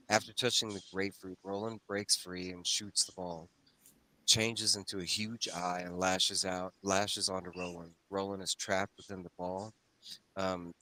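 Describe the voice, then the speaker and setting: A man's voice: low voice, bright, forceful tone, low-quality recording. A man hosting a casual group livestream discussion, talking in a folksy, informal way to his co-hosts and viewers. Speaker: host of a comics read-along livestream. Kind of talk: casual discussion and plot recap.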